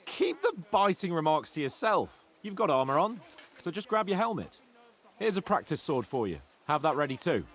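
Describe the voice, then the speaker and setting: A man's voice: on the high side, big pitch swings, moderate pace, a bit bright. An actor playing a cocky, teasing young nobleman in a game cutscene, joking and boastful with his friend. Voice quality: playful, mocking.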